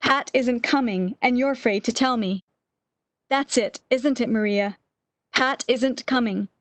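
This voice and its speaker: A woman's voice: fairly high pitch, unhurried, dry recording, close to an everyday voice. A woman acting in a radio play as an anxious, regretful, bedridden sick woman with a fretful, pleading manner. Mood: suspicious, distressed.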